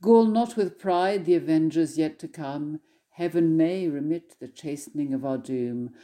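A woman scholar reading poetry aloud in a solemn, measured, declamatory recitation. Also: low-pitched, mellow.

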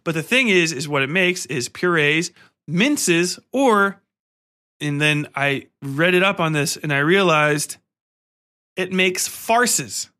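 Bright, higher-voiced man podcasting casually. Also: takes it slowly. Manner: excitable, profane, emphatic. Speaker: podcast host. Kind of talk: casual, rambling film commentary.

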